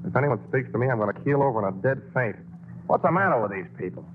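A man's voice: medium pitch, fast talker, soft background music, natural voice. An actor playing a brash, joking vaudeville father in 1940s radio acting. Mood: wry. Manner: wisecracking, lively. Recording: muffled old radio broadcast.

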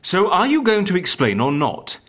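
A woman's voice: fairly low pitch, wildly swooping pitch, normal speed. A woman playing a sceptical, exasperated agency interviewer in an audio comedy, with a dry, questioning and impatient manner. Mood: puzzled, impatient. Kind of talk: scripted audio comedy drama.

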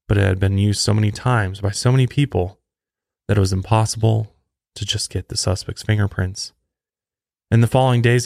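A man's voice: lowish voice, vocal fry, darkish tone, dry recording, clean studio sound. A man hosting a true crime podcast, reading a scripted narration.

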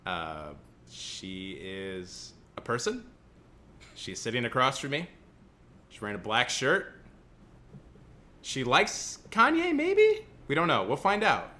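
A man's voice: mid-range voice, wildly swooping pitch, slow, pauses often, bright, forceful tone. A young man with a Central Illinois accent co-hosting a casual podcast, joking and laughing often. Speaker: podcast co-host.

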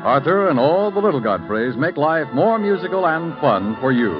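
A man's voice: fairly high pitch, sing-song, moderate pace. A man delivering an upbeat 1950s radio network promo in a lilting announcer manner. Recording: dull old radio recording with music.

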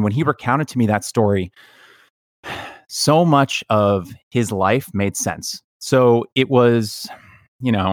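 A man with a fairly low voice talks as a podcast host in a dry, close recording. He is earnest and reflective, telling personal stories with halting pauses. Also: no echo. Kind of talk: personal storytelling in conversation.

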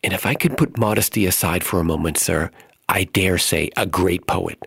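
A man's voice: low voice, moderate pace, creaky, a bit bright, slightly rough, dry recording, clean studio sound, voice pushed up, full character voice. A man voicing a scrawny, nervous male poet who stammers and hesitates, desperate for success. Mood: hopeful.

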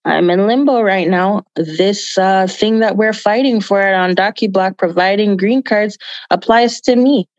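A woman's voice: mid-range voice, a little slow, no room sound, lo-fi sound. A woman from Jamaica giving earnest, emphatic answers as an activist guest in an interview, repeating phrases for stress.

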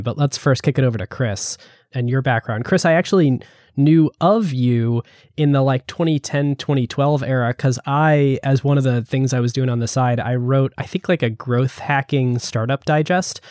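A man's voice: mid-range voice, a little mellow, no echo, studio quality. A man hosting a casual podcast interview, introducing his guests with upbeat, easy banter. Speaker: podcast host.

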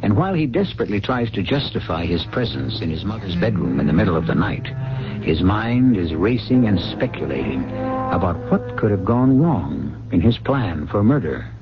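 A man narrating a radio mystery as its host, grave, measured and theatrical. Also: deep voice, big pitch swings. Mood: grave, somber. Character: grave, ominous storyteller host of mystery tales. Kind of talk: dramatic scripted suspense narration. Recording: dull old radio broadcast. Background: dramatic music.

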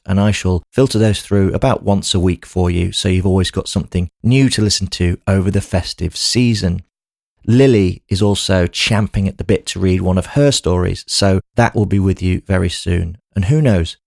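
A man's voice: sits low, vocal fry, darkish tone, no room sound, clean studio sound. A man hosting a podcast, speaking directly to his listeners in an outro style. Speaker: podcast host.

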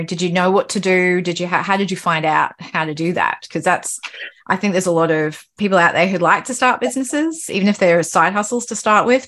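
A woman hosts a casual podcast interview, circling her questions with restarts and filler words. Her voice sits a touch low for a woman. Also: fairly bright tone. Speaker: podcast host.

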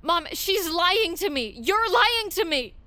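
Teenage girl, high, slow-paced, defensive and on edge, for fiction podcast drama. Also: big pitch swings, pushed and bright, exaggerated voice. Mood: agitated, defensive. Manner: halting, defensive. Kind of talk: audio drama acting.

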